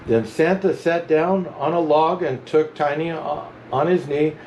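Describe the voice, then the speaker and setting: A man reading a children's storybook aloud as a Santa storyteller, with animated, chuckling narration. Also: on the high side, a little slow, lo-fi sound, a little hiss or hum. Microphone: camera mic.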